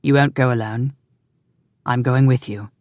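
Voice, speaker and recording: A narrator plays a loving husband in a historical romance audiobook, commanding and easily exasperated. The voice sits at a middle pitch with a stern, sardonic edge. It is recorded very dry. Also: steady tone, measured pace, a little mellow, no echo, natural voice. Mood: firm.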